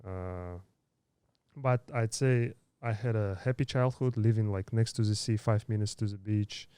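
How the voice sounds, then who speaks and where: Low mellow-voiced man in his thirties. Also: steady tone, clean tone, dry recording. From Kazakhstan. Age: 30s. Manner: halting, many fillers and restarts. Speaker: podcast guest. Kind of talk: casual interview storytelling.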